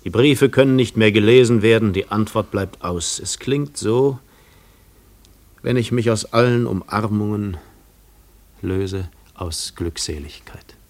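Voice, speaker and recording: An actor in a radio play playing a tormented, weary man who longs for quiet, with a brooding, halting, intense delivery. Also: on the low side. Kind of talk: German radio play acting.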